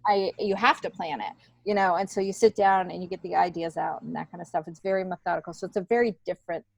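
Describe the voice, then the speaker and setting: Middle-aged woman, podcast guest, candid casual chat, animated storyteller, close dry recording. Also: lowish voice, fast, creaky, dry recording, low-quality recording. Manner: animated storytelling, acts out remembered dialogue. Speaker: comedian and TV worker, podcast guest.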